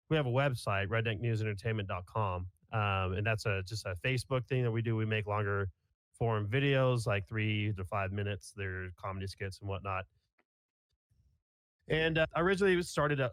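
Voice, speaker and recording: A man's voice: medium pitch, dry recording. A man in his twenties from Kansas, a podcast guest chatting casually in a rambling, blunt way and swearing freely. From Kansas, USA. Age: 20s.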